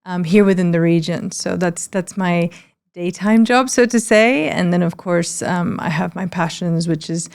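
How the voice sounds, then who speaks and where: A woman's voice: lowish voice, normal speed, gravelly, a little mellow, clear, resonant tone. A woman from Spain speaking as an interview guest, candid, reflective and sincere. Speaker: interview guest, PR agency general manager.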